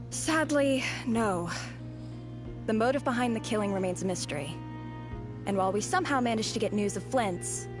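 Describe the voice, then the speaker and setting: An actress playing a polite, matter-of-fact female investigator in a video game, with a brisk official manner and music behind her. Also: mid-range voice, natural voice. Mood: regretful, matter-of-fact.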